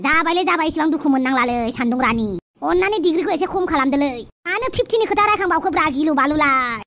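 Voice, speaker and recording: A woman's voice: high-pitched, lively intonation, rapid-fire, fairly bright tone, highs cut off. A woman voicing a soothing, wheedling father in a cartoon, chatty and coaxing. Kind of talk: cartoon dialogue.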